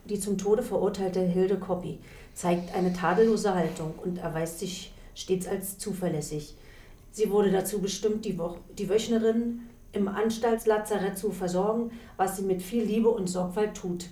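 A woman playing a stern but quietly sympathetic prison warden in a radio drama. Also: medium pitch, steady tone, normal speed, natural voice. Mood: reading aloud, official. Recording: roomy sound, faint noise.

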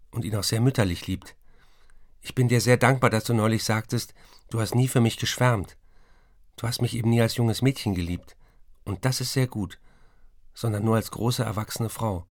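Radio play lead: male actor as intense German writer, tender and pleading, low voice, dry intimate sound. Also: dry recording, lightly acted. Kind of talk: radio play, intimate letter reading and recollection.

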